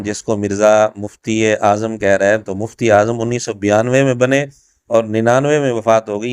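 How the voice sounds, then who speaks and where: Guy doing a religious rebuttal commentary, crisp clean voice, dry sound, methodical and emphatic, repeats himself. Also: mid-range voice, no room sound. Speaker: religious scholar rebutting a video. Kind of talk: explanatory rebuttal commentary to camera.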